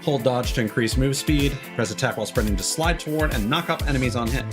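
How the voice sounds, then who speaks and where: Halting male game streamer over music. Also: mid-range voice. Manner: halting, explanatory, thinking aloud. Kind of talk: casual live gameplay commentary.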